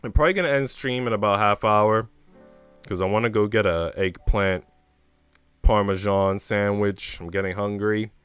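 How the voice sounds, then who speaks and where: A man's voice: lowish voice, normal speed, a bit bright, clear, resonant tone, no room sound, soft background music. A man livestreaming in a casual, rambling, informal chat style, swearing freely. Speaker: live streamer.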